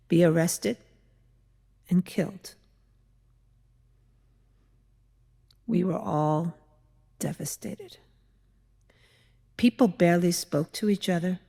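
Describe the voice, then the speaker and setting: A woman playing an earnest biblical widow, a mother-in-law telling her story as a measured, expressive dramatic monologue with deliberate pauses. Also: medium pitch. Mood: sorrowful. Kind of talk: dramatic monologue reading in church. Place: large church, indoors. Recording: echoey church hall, long reverb.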